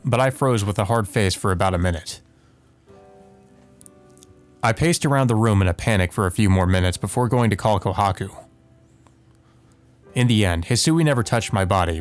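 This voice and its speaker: A man giving casual commentary and reading visual novel text aloud as a let's play host, with game music behind him. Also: on the low side. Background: video game music.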